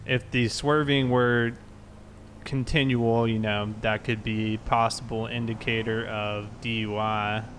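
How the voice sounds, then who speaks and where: A man's voice: on the low side, flat delivery, slow pace, fairly bright tone, slight background noise. A man in casual police roleplay, talking in a conversational, explanatory way. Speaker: player roleplaying a police officer. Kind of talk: casual roleplay narration and dialogue.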